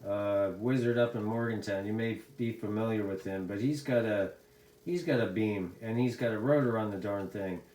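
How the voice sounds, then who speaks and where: Low-voiced American man, echoey room. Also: moderate pace, a little mellow. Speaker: CB radio hobbyist. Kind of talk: casual radio chat.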